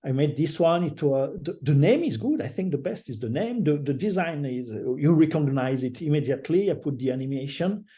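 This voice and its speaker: Older French-accented guest, mellow tone, halting and self-correcting delivery. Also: male voice, on the high side, animated. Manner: halting, restarts phrases, laughs often. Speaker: guest artist. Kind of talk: casual interview over a call.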